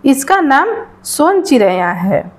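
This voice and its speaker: A woman's voice: high-pitched, very animated, slow, heavily acted. A woman voicing a kindly, mild-mannered male woodcutter in a storybook tale, speaking politely.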